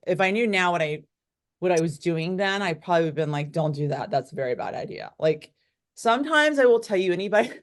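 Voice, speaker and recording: A woman in her late forties with an American accent, telling her own story candidly and talkatively as an interview guest. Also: low voice. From United States, born in Korea. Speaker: interview guest, real estate broker. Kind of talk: conversational interview answers, personal storytelling.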